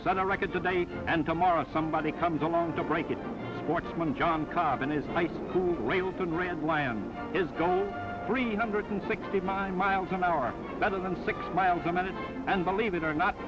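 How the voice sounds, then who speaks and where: A man's voice: high-pitched, moderate pace. An American man narrating a 1940s newsreel in a punchy, dramatic, slangy showman style, reading from a script. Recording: dull vintage 1940s newsreel soundtrack, loud music. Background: loud music.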